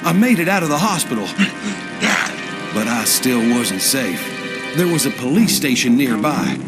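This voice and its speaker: A man's voice: medium pitch, natural voice. Guy doing a country american farmer telling his zombie survival story, folksy, game cutscene, music under it. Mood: urgent, then wary, resolute. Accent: rural American. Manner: folksy, plain-spoken storytelling. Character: plain rural American farmer, spooked but resolute zombie survivor. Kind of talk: first-person scripted game cutscene narration. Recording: music behind the voice.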